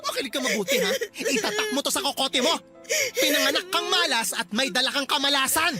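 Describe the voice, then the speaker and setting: A man playing an angry, cruel landlord who bullies a child in a radio drama, loud, scornful and accusing. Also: very high-pitched, lively intonation, fast, over music. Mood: furious, menacing.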